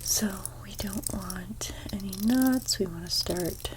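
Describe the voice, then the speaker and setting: A young woman with a stuffy nose giving an ASMR haircut role play as a hairstylist. She speaks softly, often slips into whispers, and makes gentle salon small talk. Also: mid-range voice, slow pace, background noise. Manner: soft-spoken, drifting into whispers.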